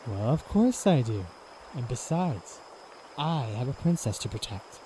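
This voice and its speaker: Young knight , male actor, gallant and teasing, soft but firm mellow voice, fantasy audiodrama, faint noise behind. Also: mid-range voice, very animated, unhurried, low-quality recording. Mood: smug, teasing.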